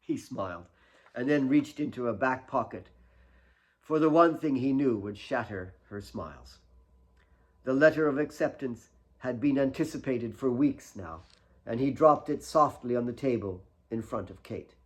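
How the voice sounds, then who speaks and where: A male author reads his novel aloud as an audiobook. His voice sits at a medium pitch, and the narration is dramatic storytelling. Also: lively intonation, normal speed. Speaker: author reading own novel.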